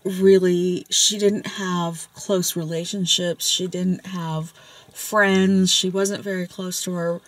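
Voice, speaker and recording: A woman's voice: lowish voice, little pitch movement, takes it slowly, dry recording. A woman discussing a film in a parked car at night, speaking thoughtfully and often adding short agreeing replies. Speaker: co-host.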